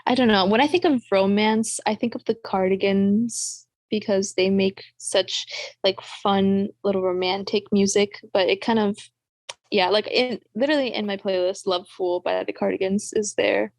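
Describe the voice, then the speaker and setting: Woman, slightly creaky voice, dry close sound, bubbly, gushing, casual podcast chat. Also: mid-range voice, fairly even intonation, normal speed, dry recording. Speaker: book club podcast co-host.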